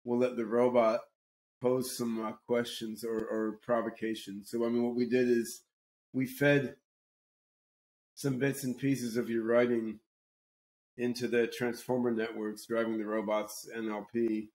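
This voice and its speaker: Podcast host guy, middle pitch, stammers and repeats words a lot, casual chat. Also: little pitch movement, darkish tone, poor audio. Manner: halting, many repeated words and restarts.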